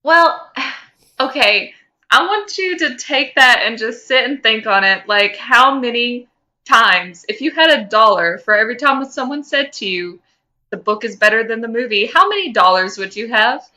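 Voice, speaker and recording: A woman's voice: fairly high pitch, expressive, moderate pace, sharp tone. A woman co-hosting a podcast in casual fan chat, speaking in an emphatic, opinionated way. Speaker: podcast co-host.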